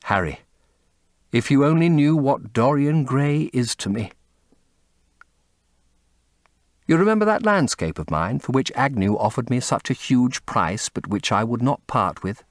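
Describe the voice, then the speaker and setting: A man performs an earnest, devoted painter from an audiobook novel. His mid-pitched voice swings widely and expressively, anguished and rhapsodic. The recording is close and dry. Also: animated, normal speed, dry recording, close to an everyday voice. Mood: fervent. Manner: impassioned, rhapsodic, confessional. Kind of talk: dramatic audiobook character acting.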